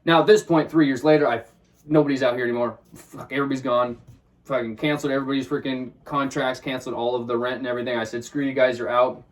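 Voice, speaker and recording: A man with a high-pitched voice from Washington State, telling a story casually and agitatedly, swearing often and acting out what he said. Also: steady tone, fast, distant mic. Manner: agitated, swears often, acts out his own quotes. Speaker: vlogger.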